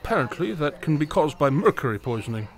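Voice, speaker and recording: Radio play lead: a man plays a wry, self-assured detective with an animated delivery. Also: a little high, quick pace, soft background music. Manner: dry and teasing. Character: sly, confident male detective, dryly teasing.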